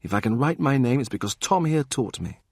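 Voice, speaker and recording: Brisk, caring young tavern woman, put on by a male narrator, voice lifted, husky, dry close sound. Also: mid-range voice, animated, no room sound, voice pushed up. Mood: coaxing.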